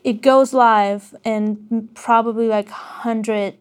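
A woman from Armenia, a guest singer talking casually in a radio studio, hesitant with many pauses and ums. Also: fairly high pitch, fairly even intonation, smooth. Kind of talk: casual interview.

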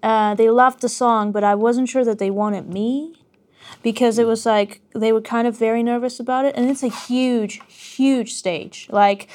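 A woman from Armenia, a guest singer talking casually in a radio studio, hesitant with many pauses and ums. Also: on the high side, steady tone, darkish tone. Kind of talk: casual interview.

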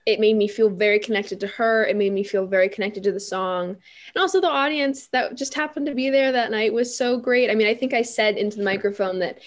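Teen girl musician chatting in an interview, lots of um and you know, middle pitch. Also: fairly quick. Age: teens. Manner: chatty, animated, frequent fillers and restarts. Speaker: guest musician. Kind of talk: interview storytelling.

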